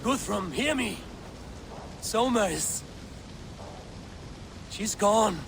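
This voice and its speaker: A high-pitched, solemn Viking warrior leader. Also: male voice, expressive, drawn-out words, gravelly, exaggerated voice. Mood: grave. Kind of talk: dramatic video game acting. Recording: battle and ambient noise behind.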